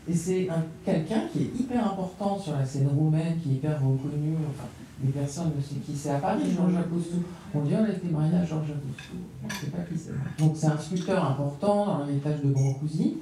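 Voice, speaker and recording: A woman on an art panel picks her way through each thought, filling gaps with hesitations and restarts. Her voice is dark and mellow. It carries from some distance across a very echoey room. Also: low-pitched, slight background noise. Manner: hesitant, many fillers and restarts. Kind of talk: round-table discussion. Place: gallery room. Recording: echoey room, far from mic.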